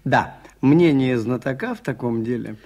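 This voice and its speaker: An actor playing a mild, shrewd priest-detective in a radio play, measured and politely insinuating. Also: male voice, mid-range voice, darkish tone, lightly acted. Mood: politely probing. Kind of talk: Soviet radio play acting.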